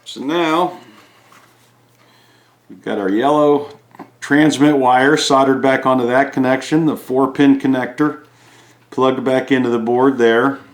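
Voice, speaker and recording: A man giving a casual hands-on repair walkthrough, halting as he thinks aloud while he works. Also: medium pitch, steady tone. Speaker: DIY repair video host. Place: indoors at a home kitchen countertop. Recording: echoey hard-surfaced room.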